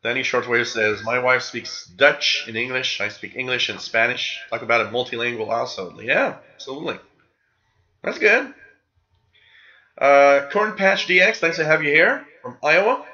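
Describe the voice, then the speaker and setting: A man's voice: medium pitch, animated, moderate pace, pushed and bright, muffled recording. A man with a Quebec French accent hosting a live radio hobby show, chatting casually and reading out viewers' comments. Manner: casual, rambling, reads chat names aloud.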